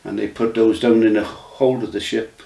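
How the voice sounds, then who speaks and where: A man, recalling his memories in a casual, halting way with many restarts. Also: on the low side, fairly even intonation, vocal fry, warm tone. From Wales. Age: 90s. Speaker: interview guest. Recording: roomy sound from a distant mic.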